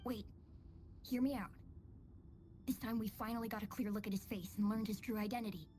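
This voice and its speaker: A woman playing a cold, knowing female informant in a video game, speaking deliberately and dramatically. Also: medium pitch, steady tone, warm tone, soft background music, natural voice. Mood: grave, urgent. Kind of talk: video game character acting.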